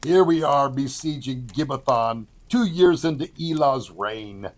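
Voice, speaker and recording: A man playing an ambitious, calculating army commander in an audio Bible drama, measured and knowing. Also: mid-range voice, lively intonation. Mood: calculating, confident.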